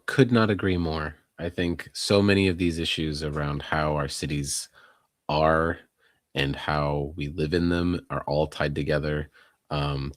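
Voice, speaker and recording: A man with a very deep voice, recorded dry and close. He speaks as a podcast co-host, conversational and earnest, unwinding his points in long, wandering sentences. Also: no echo. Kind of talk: conversational civic commentary.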